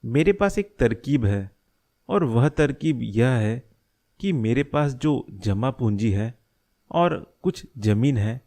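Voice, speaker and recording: A man acts as a worried middle-aged cartoon father. He speaks slowly at a medium male pitch, laying out each thought earnestly and deliberately. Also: darkish tone. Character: worried middle-aged father scheming to protect his family. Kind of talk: Hindi animated moral story dialogue.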